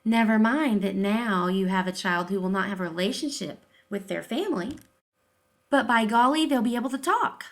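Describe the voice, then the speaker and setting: A woman's voice: medium pitch, animated, moderate pace. A woman giving informal opinion commentary in an emphatic, sarcastic way, often posing rhetorical questions. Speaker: video commentator, deaf-education advocate.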